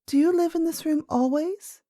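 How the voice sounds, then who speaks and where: A woman's voice: very high-pitched, moderate pace, mellow, no echo, clean studio sound, pitched above the performer's own voice. A woman voicing a curious, outspoken little princess in a storybook, eager and childlike, full of questions. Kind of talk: storybook character voice.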